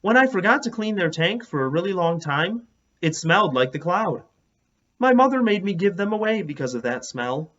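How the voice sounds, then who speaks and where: A man's voice: sits high, sing-song, normal speed, a bit bright, muffled recording, voice pushed up, full character voice. A man playing a chatty young schoolboy in a children's storybook read-aloud. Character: chatty young schoolboy griping about bad smells.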